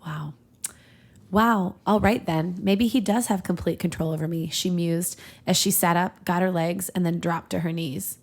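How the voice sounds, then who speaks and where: A woman's voice: lowish voice, steady tone, normal speed. Woman podcaster, giggly and irreverent. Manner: giggly, irreverent, conversational asides. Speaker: podcast host reading fan fiction aloud. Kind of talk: storybook-style reading mixed with casual banter.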